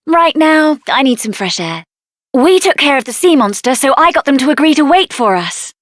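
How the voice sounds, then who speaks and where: A woman voicing a headstrong young female mage adventurer in a video game, with an assertive, sassy and expressive delivery. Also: sits high, moderate pace, no room sound, studio quality. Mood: friendly, brisk. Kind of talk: video game character voice acting.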